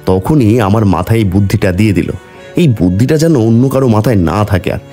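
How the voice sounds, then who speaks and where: A greedy, scheming village fish seller from a cartoon, voiced by a man. He is excitable and exclaiming, with very lively pitch over faint music. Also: fast talker, natural voice. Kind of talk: cartoon voice acting.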